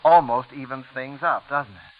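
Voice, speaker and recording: An actor playing a smug, cruel blackmailer, sardonic and mock-friendly, in 1940s radio drama style. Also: male voice, medium pitch, moderate pace, close to an everyday voice. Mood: smug, sly. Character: smug, taunting blackmailer villain, gloating and cruel. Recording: muffled vintage radio recording.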